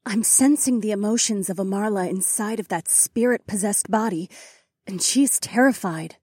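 Empathic female snow leopard demi-human, fantasy audiobook, woman performer; tender, somber, husky. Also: mid-range voice, normal speed, darkish tone, no room sound, clean studio sound, lightly acted. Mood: somber, insistent. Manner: somber, earnest.

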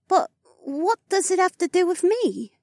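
A woman voicing earnest, devoted elven and spirit women in a fantasy audiobook, with an expressive manner. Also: extremely high pitch, unhurried, no echo, full character voice. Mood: curious.

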